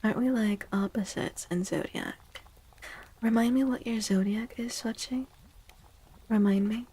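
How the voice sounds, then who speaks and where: Breathy, soft-spoken ASMR woman, very unhurried. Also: on the high side. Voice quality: breathy, often near-whispered. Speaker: streamer host giving tarot readings.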